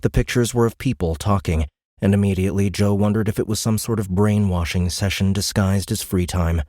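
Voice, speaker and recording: A man's voice: lowish voice, dark tone, no room sound, studio quality. A man narrating a sci-fi audiobook in a dramatic, scripted storytelling style.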